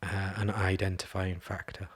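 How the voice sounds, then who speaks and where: A man asking questions in a casual conversation, halting and repeating words as he searches for the right phrasing. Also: low-pitched, flat delivery, a bit bright, slightly rough. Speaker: podcast co-host, interviewer.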